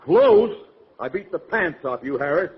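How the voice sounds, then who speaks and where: An actor playing a meek but insistent, stuffy gentleman in a golden-age radio comedy. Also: on the high side, lively intonation, a little slow. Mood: indignant. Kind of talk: 1940s-50s radio comedy acting. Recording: dull, very noisy vintage radio.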